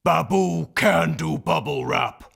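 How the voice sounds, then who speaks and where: A woman voicing a gruff, furry old monster grandfather who speaks in blunt, broken third person. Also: low voice, slow pace, full character voice. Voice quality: gravelly.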